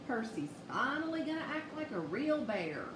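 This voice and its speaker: A woman voicing a mocking, boisterous older bear who bullies, with a drawn-out, taunting delivery. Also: high voice, very animated, reverberant, distant mic, noisy background, voice pushed up.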